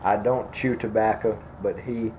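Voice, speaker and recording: A man in his sixties, speaking softly and slowly as he asks grammar fill-in-the-blank questions, his sentences trailing off. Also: fairly low pitch, fairly even intonation. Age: 60s. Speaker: interviewer giving a grammar quiz. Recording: dull lo-fi tape with faint noise.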